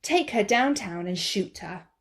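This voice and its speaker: Scheming jealous stepmother from a storybook, read by a woman, cold, determined, mid-pitched. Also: animated, unhurried.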